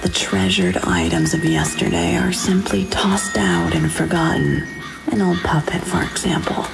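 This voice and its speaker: A woman playing an ominous, brooding game narrator in a breathy, creaky half-whisper, speaking deliberately. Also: lowish voice, expressive, over music, exaggerated voice, low-quality recording. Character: ominous female narrator musing on discarded puppets. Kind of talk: scripted dramatic game narration.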